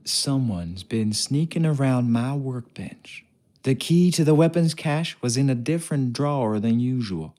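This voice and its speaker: A man's voice: mid-range voice, lively intonation, unhurried, dark tone, lightly acted. A man acting a sardonic, bossy old-time sailor. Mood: grave, secretive. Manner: taunting, colloquial, condescending. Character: gruff, sardonic adult male ship's craftsman and sailor, bossy and wary. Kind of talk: video game character acting.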